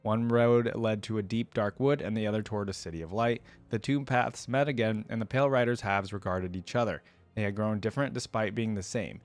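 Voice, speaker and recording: A man's voice: fairly low pitch, steady tone, a little fast. A man hosting a reaction video, reading lore aloud and commenting casually as he goes.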